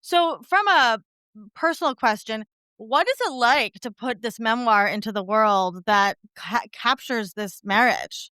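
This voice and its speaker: A woman's voice: on the high side, expressive, measured pace, pushed and bright, clean tone, no echo. A middle-aged woman hosting a podcast, interviewing in an effusive, informal, conversational way. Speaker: podcast host.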